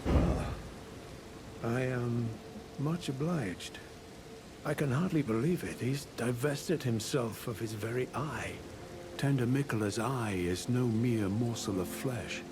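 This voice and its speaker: An actor playing a courteous, reverent fantasy follower of a demigod in a video game, speaking in formal, archaic phrases. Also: male voice, medium pitch, slow, background noise. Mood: courteous, reflective, then awed, pondering. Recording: loud music behind the voice. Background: loud music.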